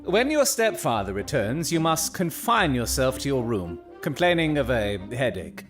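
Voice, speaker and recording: An actor playing a coolly analytical Victorian gentleman detective in an audio drama, speaking precisely and formally with dry authority. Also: mid-range voice, very animated, moderate pace, faint music behind, lightly acted. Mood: instructing. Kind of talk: period audio drama acting.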